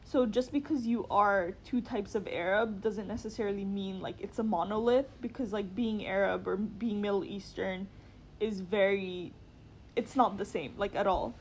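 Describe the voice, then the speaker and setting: A woman's voice: mid-range voice, steady tone, moderate pace, slight background noise. A young woman who is an international student, talking casually with lots of "like" while giving her opinion. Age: young adult.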